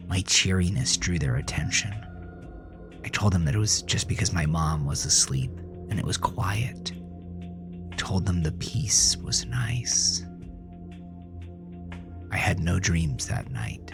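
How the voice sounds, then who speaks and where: A man playing a troubled teenage boy who narrates his own horror story in a brooding, confessional way, often dropping to a hushed murmur. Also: deep voice, a little slow, vocal fry, pauses often. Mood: subdued, secretive. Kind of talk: dramatic first-person horror audio narration. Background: music.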